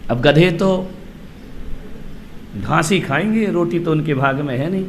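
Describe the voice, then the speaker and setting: A man's voice: on the high side, measured pace, a little hiss or hum. A man who is a monk, telling a story as a sermon in a theatrical, emphatic way, repeating phrases and putting questions to listeners. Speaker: Jain monk preacher.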